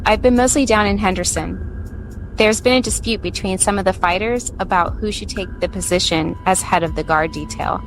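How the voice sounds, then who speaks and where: A woman's voice: mid-range voice, a little fast, soft background music, lightly acted, low-quality recording. Actress as female second-in-command: dutiful, exasperated, middle register, audio drama. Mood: exasperated, deferential. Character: exasperated, deferential female lieutenant.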